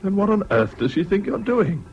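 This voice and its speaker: An actor in a 1970s British radio drama playing a sensible, patient friend who speaks in a measured, frank way. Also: male voice, high voice, sing-song, creaky, darkish tone, heavily acted. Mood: puzzled, kindly. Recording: dull, faintly noisy old radio recording.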